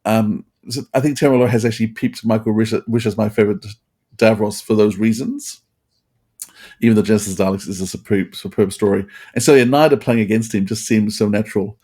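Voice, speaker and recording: Guy podcast host chatting casually, soft mellow tone, kinda halting and repeats himself. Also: fairly low pitch, fairly even intonation. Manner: rambling, halting, repeats phrases. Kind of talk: casual review chat.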